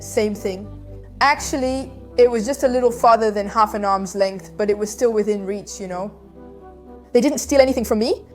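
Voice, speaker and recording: A woman with a fairly high voice recounts events in a casual interview. She talks in an animated, conversational way, full of fillers. Faint music sits underneath. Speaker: guest.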